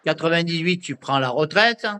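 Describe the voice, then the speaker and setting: A man hosting a radio interview, asking questions in a halting way that repeats words. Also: fairly high pitch, lively intonation, sharp tone, smooth. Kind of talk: casual interview.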